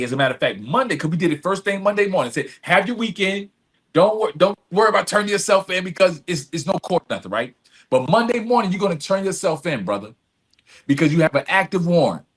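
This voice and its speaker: A man with a somewhat high, husky voice talks as a guest on a podcast. He tells things like testimony, earnest and emphatic. He repeats key phrases and keeps checking that the listener follows. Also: a bit bright. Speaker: podcast guest, advocate and executive director. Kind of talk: conversational storytelling with earnest testimony.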